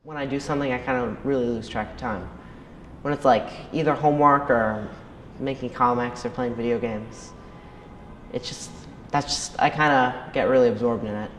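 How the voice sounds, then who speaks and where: A student speaking softly and with hedges about getting absorbed in work. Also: mid-range voice, steady tone, normal speed, roomy sound, in a large hall, slight background noise. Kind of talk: interview answer.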